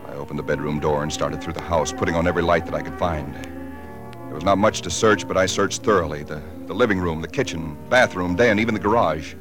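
An actor playing a haunted, secretive husband who narrates a horror in halting, dramatic 1940s radio style. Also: male voice, sits low, natural voice. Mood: haunted dread. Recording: 1940s radio broadcast recording, loud music behind.

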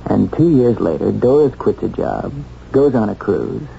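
Gravelly, mellow, wry newspaper reporter. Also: male voice, on the low side, expressive, unhurried, exaggerated voice. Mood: probing. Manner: casual, hesitant lead-ins, probing questions. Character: probing, wry small-town newspaper reporter. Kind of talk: 1970s radio drama acting. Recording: dull, faintly noisy old radio recording.

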